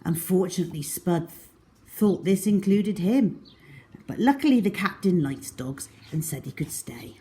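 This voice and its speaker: A woman's voice: lowish voice, animated, normal speed, mellow. A woman storyteller reading a children's diary-style story aloud with expression.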